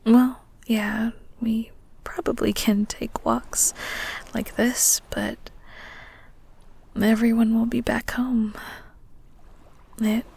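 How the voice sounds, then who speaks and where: A woman playing an earnest, vulnerable, secretly lovestruck married woman of the 1940s in an intimate romantic audio roleplay, with breathy, halting, half-whispered delivery. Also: on the high side, little pitch movement, darkish tone, full character voice. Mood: soft, relieved.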